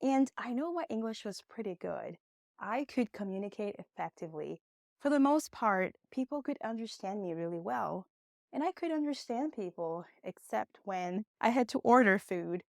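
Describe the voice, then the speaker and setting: A young woman, a Portuguese speaker, giving a conversational online English lesson with encouraging, expressive emphasis. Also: mid-range voice, normal speed, gravelly, dry recording, studio quality. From Brazil. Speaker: English teacher.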